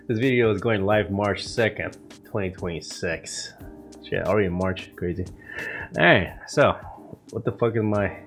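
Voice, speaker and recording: A fairly low-voiced man who is coming down with a cold, chatting straight into his camera. He rambles casually, cussing often and padding his sentences with plenty of fillers. Faint music plays behind him. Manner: rambling, profane, many fillers. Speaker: vlog host. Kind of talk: casual morning vlog talk. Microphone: camera mic.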